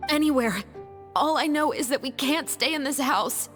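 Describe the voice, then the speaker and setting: A woman's voice: high voice, moderate pace, fairly bright tone. A woman voicing an observant, resolute young daughter, protective of her sister, in a dramatic video game. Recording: long reverb, music underneath. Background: music.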